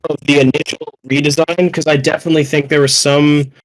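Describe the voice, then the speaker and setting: A man's voice: a little high, flat delivery, fast, rough recording. A young man chatting casually as a podcast panelist, slangy, sweary and self-deprecating. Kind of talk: casual fan chat.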